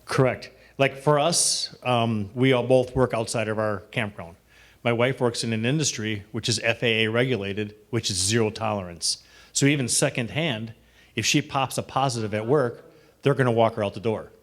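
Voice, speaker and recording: A man's voice: mid-range voice, moderate pace. A man with a middle-range voice speaks in a group meeting. He is direct and matter-of-fact, sometimes sounding a little far from the mic. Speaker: campground owner. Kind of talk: casual group discussion. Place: meeting room.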